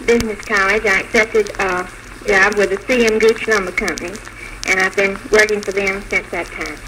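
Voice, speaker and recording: A woman's voice: mid-range voice, steady tone, moderate pace, pushed and bright. A Southern American woman in her twenties from Mississippi, answering politely and a little hesitantly as a radio guest. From Mississippi, United States. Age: 20s. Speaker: guest, bride. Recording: noisy vintage radio broadcast.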